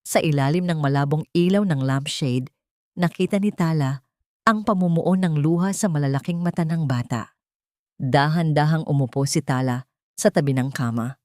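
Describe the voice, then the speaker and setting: A woman's voice: low voice, normal speed, warm tone, dry recording, studio quality. A woman with a Filipino accent narrating a dramatic story from a script.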